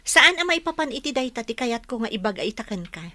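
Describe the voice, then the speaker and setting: A frank young sweetheart in a radio soap, with a sharp, bright voice on the high side. Her delivery is very lively and pointed. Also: fairly quick, no echo. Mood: reproachful. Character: frank young woman being courted, reproachful.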